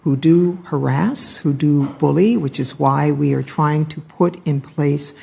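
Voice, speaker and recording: An older American woman giving formal testimony as a government witness, measured, careful and firm in her answers. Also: deep voice, gravelly, mellow, slightly rough. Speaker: ambassador and chief diversity officer testifying as witness. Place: congressional hearing room.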